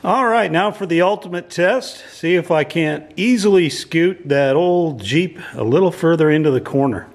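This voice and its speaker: A middle-aged man talking casually in a large pole barn workshop, relaxed and folksy with many filler sounds, picked up by a camera on a tripod. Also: a little high, normal speed, few pauses. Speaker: channel host reviewing a product. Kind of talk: casual how-to vlog narration.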